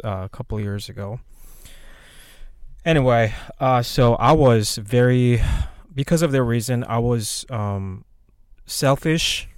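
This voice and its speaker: A man with a Korean accent, a native Korean speaker, co-hosting a podcast and telling his story in a halting, soft-spoken way with many pauses and fillers. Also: lowish voice, clean tone, no room sound, studio quality. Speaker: podcast co-host. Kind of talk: casual personal storytelling.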